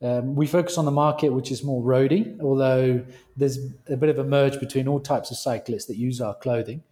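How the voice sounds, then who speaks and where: A British man, a company founder, speaking as a guest on a remote interview call, with halting speech and frequent restarts. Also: medium pitch, fairly even intonation, mellow. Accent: British English. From Britain. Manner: halting, restarts phrases often.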